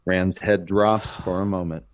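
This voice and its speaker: Slow, low-voiced male narrator. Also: steady tone. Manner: dramatic, deliberate. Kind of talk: scripted screenplay narration.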